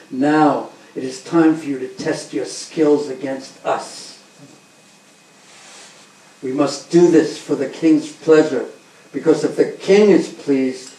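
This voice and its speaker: A man voicing a boastful male wrestler who talks loudly and insistently. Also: medium pitch, close to an everyday voice. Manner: loud and insistent. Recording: roomy, distant, faint background hum.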